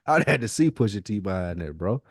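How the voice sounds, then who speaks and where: A man talking as a podcast host in casual, slangy, profane and animated hip hop conversation. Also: fairly low pitch, fast, no room sound, clean studio sound.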